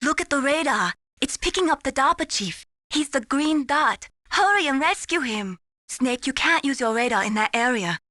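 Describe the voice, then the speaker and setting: A woman voicing an earnest, caring young mission support analyst in a video game, encouraging and gently solicitous. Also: sits high, animated, normal speed, few pauses, no echo, studio quality. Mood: explanatory.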